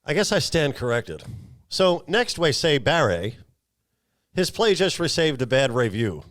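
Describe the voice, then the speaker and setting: A man's voice: a little high, sing-song, a little slow, fairly bright tone. A man hosting a show, recapping a film in a casual, chatty style. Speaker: podcast host.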